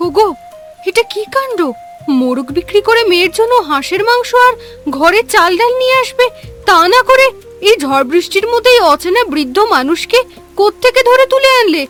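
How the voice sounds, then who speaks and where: A woman's voice: very high-pitched, very animated, fairly quick, fairly bright tone, faint music behind, heavily acted. A woman voicing a poor village wife and mother in a cartoon, worried and scolding. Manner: fretful, scolding. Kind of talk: cartoon voice acting.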